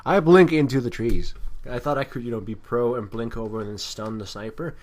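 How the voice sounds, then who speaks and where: A man talks over a video game replay as an amateur commentator. His delivery is casual and rambling, with a self-deprecating streak. The voice sits at an ordinary middle pitch for a man. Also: brisk.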